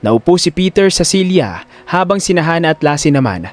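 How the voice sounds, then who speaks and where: A man's voice: on the high side, big pitch swings, a little fast. A man narrating a scripted audio drama in a dramatic storytelling style.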